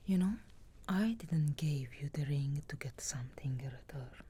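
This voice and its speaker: A woman voicing a sarcastic, guardedly caring witch of the wilds in a slow, breathy whisper for an ASMR fantasy roleplay. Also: sits low, dark tone, a little hiss or hum, natural voice. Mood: teasing, reluctant care. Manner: slow, measured, archly formal phrasing.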